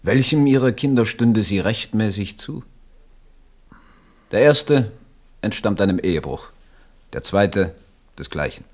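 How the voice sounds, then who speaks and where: Stern minister, lowish voice, blunt, formal, admonishing, radio drama. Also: male voice, lively intonation, measured pace, pauses often, darkish tone. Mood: admonishing, grave. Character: stern, blunt royal minister advising his king.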